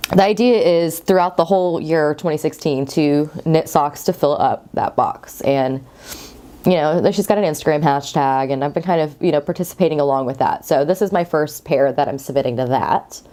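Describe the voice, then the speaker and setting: Woman podcast host, low mellow voice, stuffy from a cold, chatty and rambling. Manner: chatty, rambling, friendly asides. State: congested from a cold, sniffly. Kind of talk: casual knitting podcast chat.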